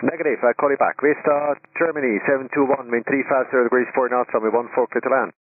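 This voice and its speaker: A man working as an air traffic controller, giving brisk, procedural clipped instructions over a radio. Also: mid-range voice, fairly even intonation. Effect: radio transmission. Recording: tinny narrowband aviation radio.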